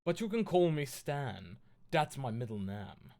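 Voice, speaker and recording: A man voicing a moody, excitable goth schoolboy with a secretive, theatrical manner in a mock-dramatic fanfiction reading. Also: medium pitch, measured pace, creaky. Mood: cool.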